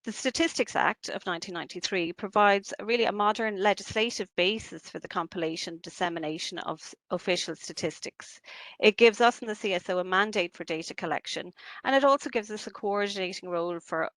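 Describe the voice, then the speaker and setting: A woman, a statistician giving a measured, formal slide presentation on a video call. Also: mid-range voice, fairly bright tone, dry recording, low-quality recording. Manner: measured and formal, with occasional fillers.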